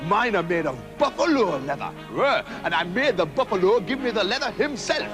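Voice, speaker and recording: A man's voice: high voice, very animated, a little fast, big-room sound, over music. A man voicing a boastful, rude male bully in a storybook reading, swaggering and cheerfully menacing. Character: boastful brutish rude male brute who loves bullying.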